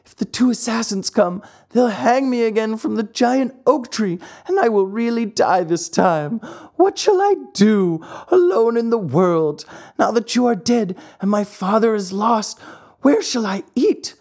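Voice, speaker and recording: A little wooden puppet boy from a storybook, acted out by a narrator, speaks in a high, plaintive voice. The pitch swoops widely, full of pleading and dramatic exclamation. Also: wildly swooping pitch, moderate pace, darkish tone, slightly rough. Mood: grieving, pleading.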